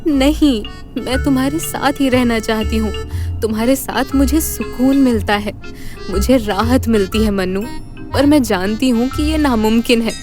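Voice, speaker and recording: A woman's voice: a little high, moderate pace, lightly acted. A resolute woman spy in a romantic audio drama played by an actress, torn between love and duty. Faint music plays under her voice. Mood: tender.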